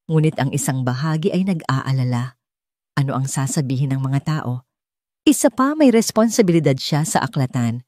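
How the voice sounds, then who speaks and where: A woman's voice: rumbling low, lively intonation, moderate pace, warm tone, dry recording, clean studio sound. A woman with a Filipino accent reading a scripted romance story as an audiobook narrator.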